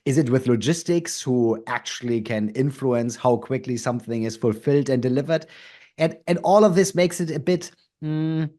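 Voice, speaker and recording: A man's voice: mid-range voice, moderate pace, warm tone. A man with a German accent talks through business ideas as an interview guest. His delivery is conversational and considered, dotted with fillers and questioning tags. He sits in the middle of the usual range for a man's voice. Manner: thoughtful, tags sentences with "right?". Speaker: guest, company founder.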